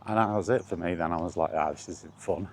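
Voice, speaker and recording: A man's voice: low voice, quick pace, a little hiss or hum. A British man chatting casually in a wry, colloquial way, like a solo vlogger rambling through his thoughts. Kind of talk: casual rambling chat about gaming.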